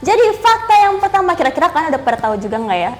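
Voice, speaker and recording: A young woman with a squeaky voice, chatting agreeably as a live show co-host. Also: sing-song, very fast, a little hiss or hum. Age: young adult. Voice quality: shrill, squeaky. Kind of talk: casual chat.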